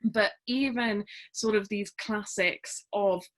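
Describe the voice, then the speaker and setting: A woman's voice: medium pitch, slow. A young woman talks casually about literature, hesitantly searching for her words. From South Wales, on the border.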